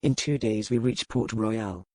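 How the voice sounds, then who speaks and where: A woman voicing a formal, resigned male pirate captain in an audiobook, speaking with measured gravity. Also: very deep voice, dark tone, no echo, voice pushed down. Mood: regretful, resigned. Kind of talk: dramatic audiobook character reading.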